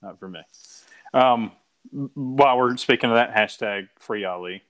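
A man's voice: medium pitch, normal speed, a bit bright, no echo, poor audio. A man co-hosting a podcast in casual chat, joking, teasing and laughing often. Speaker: podcast co-host.

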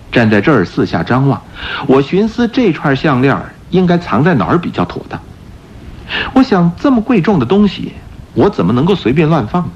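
An actor playing a confident, playful gentleman-thief detective in a radio drama, explaining his deductions theatrically. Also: medium pitch, breathy edge. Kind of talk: Mandarin radio drama acting. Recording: dull radio recording with background music.

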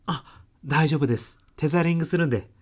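A woman's voice: low-pitched, fast talker, a little mellow. A woman playing a polite, deferential young salaryman son-in-law in a comic radio drama, with a hesitant businesslike manner.

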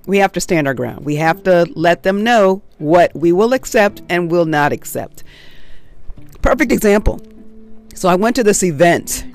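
A woman with a nasal voice hosting her own unscripted solo podcast, chatting frankly and telling a story. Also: on the low side. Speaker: podcast host.

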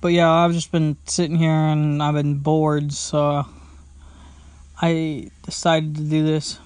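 A man's voice: on the high side, completely flat delivery, takes it slowly, a little mellow, clear, resonant tone, dry recording. A young man hosting his own casual podcast from home, rambling with lots of fillers, restarts and "blah, blah, blah". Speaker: podcast host. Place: at home, sitting indoors.

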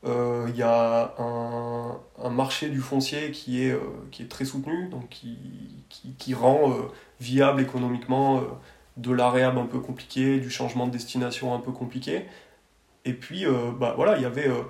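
A man speaks with a gravelly, creaky voice at a medium pitch, in a roomy, echoing space. He tells his story as a podcast guest, casually and colloquially, often hesitating and adding little verbal tags. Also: fairly even intonation, normal speed, a little mellow.

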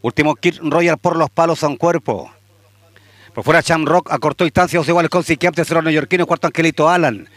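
Chilean track announcer, steady call, dry close sound. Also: male voice, fairly quick, dry recording. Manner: steady calling that climbs louder and higher at the finish. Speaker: horse racing announcer. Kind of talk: live race commentary.

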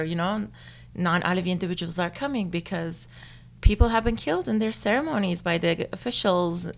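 Young woman from Turkey, Turkish first language, lowish voice, thoughtful and chatty interviewee. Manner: thoughtful, with frequent fillers and light laughs. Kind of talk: casual interview.

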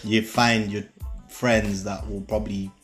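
A young man from South Africa, guest on a chat podcast, giving calm, dry, understated replies. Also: lowish voice, unhurried, soft background music.

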